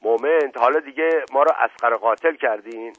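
A man's voice: medium pitch, a bit bright, natural voice. A man voicing a wily aristocratic suspect in a story, mock-bashful, sighing and theatrically lovelorn. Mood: protesting. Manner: halting, sighing, melodramatic. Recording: roomy lo-fi radio-like narrow band.

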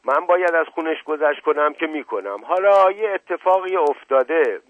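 A man's voice: a little high, expressive, voice pushed up, natural voice. Man doing dramatic coquettish wife, phone-like sound. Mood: tender, coquettish. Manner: wailing endearments, sharp retorts. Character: volatile, coquettish middle-aged wife, dramatic and domineering. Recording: roomy lo-fi radio-like narrow band.

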